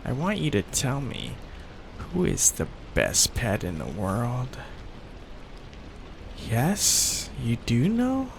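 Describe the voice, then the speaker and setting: A man playing a soft-hearted young mafia heir in an intimate ASMR roleplay, tender and coaxing, hesitant and possessive as he seeks reassurance. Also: medium pitch, huge pitch swings, slow, creaky, long pauses, noisy background, full character voice. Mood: playful, doting.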